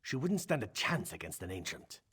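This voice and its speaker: A man playing a calculating male vampire rebel in a video game, husky, measured and commanding. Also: lowish voice, big pitch swings, fairly bright tone, exaggerated voice. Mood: calm, calculating. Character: male vampire rebel leader, calculating foe of his ancient father.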